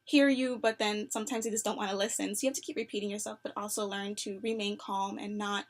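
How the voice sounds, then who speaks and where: A young adult woman answering phone interview questions in an earnest, upbeat way, with many fillers and soft laughs. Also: medium pitch, fairly even intonation, fast. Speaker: job applicant.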